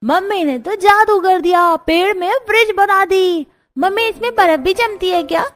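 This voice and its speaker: A woman voicing an excited, admiring small child in a Hindi cartoon story. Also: extremely high pitch, moderate pace. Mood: delighted.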